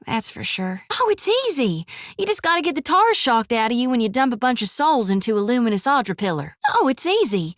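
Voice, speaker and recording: A woman voicing a folksy, devout young priestess in a video game, with an earnest, expressive Southern US drawl. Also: on the high side, dry recording, studio quality, natural voice. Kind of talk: video game character voice lines.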